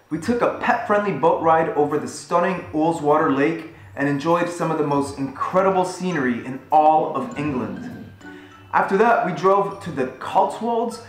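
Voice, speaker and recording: A cheerful man in his thirties tells the story of a road trip in a relaxed, chatty way, with his voice sitting a little higher than most men's. The sound is roomy and echoey, with soft music playing underneath him. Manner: upbeat and conversational. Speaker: travel vlogger. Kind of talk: casual travel narration. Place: living room at home, indoors.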